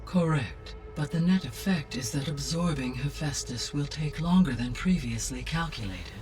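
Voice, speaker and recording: A man playing a calm, precise artificial intelligence in a video game, speaking in a measured, formal, analytical way. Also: a little high, far from the mic, natural voice. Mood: grave, formal. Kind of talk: scripted video game cutscene acting. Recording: music behind the voice.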